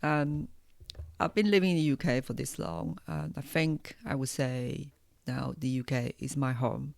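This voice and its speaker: A woman in her forties with a Taiwanese accent, hosting a personal podcast in a hesitant, reflective, unscripted way with frequent pauses. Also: a bass voice, gravelly, darkish tone, no room sound, studio quality. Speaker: podcast host, hypnotherapist.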